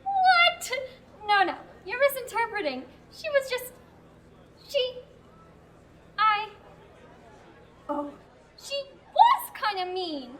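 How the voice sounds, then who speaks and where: A woman's voice: extremely high pitch, big pitch swings, takes it slowly, long pauses, fairly bright tone, full character voice. A teenage actress playing a naive, flustered young scribe raised in a nunnery, rambling and stumbling over her words. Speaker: student actor. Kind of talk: amateur radio play acting.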